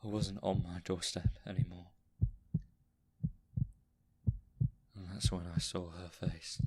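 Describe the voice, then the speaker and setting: An actor playing an anxious, haunted man in an audio drama, speaking in a deliberate, brooding way. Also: low voice, level tone, slightly rough. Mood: haunted, reflective. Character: anxious haunted man recalling his own heart attack.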